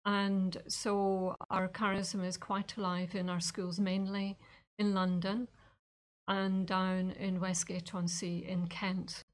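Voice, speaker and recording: A woman from Scotland, a visiting nun answering thoughtfully with frequent pauses. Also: medium pitch, flat delivery. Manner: thoughtful, with many ums and uhs. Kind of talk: casual interview answers.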